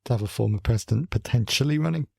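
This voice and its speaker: Man, Welsh accent, podcast co-host, casual chat, husky, dry-sounding room. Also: medium pitch, very fast, a little mellow, no room sound. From Wales. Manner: rambling, self-correcting, dry humour.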